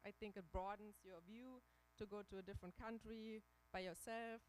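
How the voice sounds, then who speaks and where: A woman from Germany with a German accent gives a career talk with slides to a church hall audience. She speaks haltingly, with many fillers and restarts. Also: medium pitch, steady tone, a little hiss or hum. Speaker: guest speaker.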